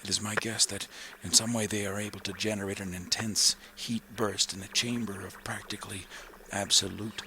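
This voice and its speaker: A deep, gravelly professor, formal and measured, old radio play, hissy line. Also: male voice, flat delivery, pushed and bright. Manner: slow, formal, hesitant. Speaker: scientist. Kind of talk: 1930s radio drama acting. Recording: noisy old radio recording, direct wire.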